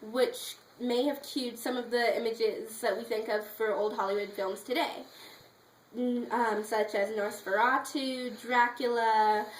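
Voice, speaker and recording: A woman giving a prepared informative speech with slides, in an explanatory, somewhat halting way. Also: a little high, steady tone. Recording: echoey room with faint background noise.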